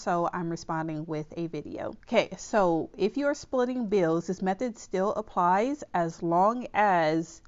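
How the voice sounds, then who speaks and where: Woman, slightly stuffy and nasal, low voice, smooth tone, casual budgeting explainer, muffled audio. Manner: chatty, reassuring, checks in with "okay?". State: under the weather, slightly nasal. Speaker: budgeting channel host.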